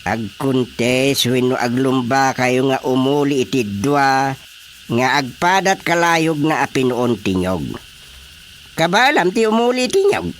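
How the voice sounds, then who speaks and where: A man playing a bossy, sly older village father in an Ilocano radio comedy, with emphatic, drawn-out delivery. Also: mid-range voice, lively intonation, slight background noise. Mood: bossy, challenging. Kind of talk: Filipino radio drama comedy acting.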